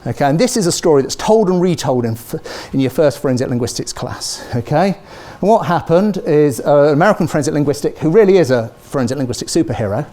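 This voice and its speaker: Lively British man lecturing a hall. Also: fairly high pitch, quick pace, hardly any pauses. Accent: British English. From Britain. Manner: animated, asks the audience "okay?" and "yeah?" often. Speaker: professor giving a lecture. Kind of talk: public university lecture. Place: large lecture theatre with a seated audience.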